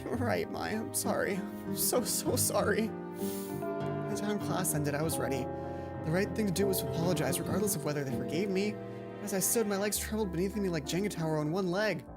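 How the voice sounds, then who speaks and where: A woman's voice: lowish voice, fast. A woman reading a visual novel aloud as a let's play host, adding her own asides. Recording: background game music. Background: music from the game.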